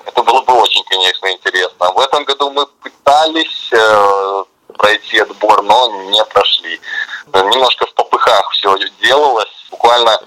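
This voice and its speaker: Male musician guest for a radio chat. Bright tone, on the low side, relaxed wording, hesitant, thinks aloud. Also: normal speed, low-quality recording. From Belarus. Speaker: band musician and songwriter, interview guest. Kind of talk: casual radio interview chat. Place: radio studio.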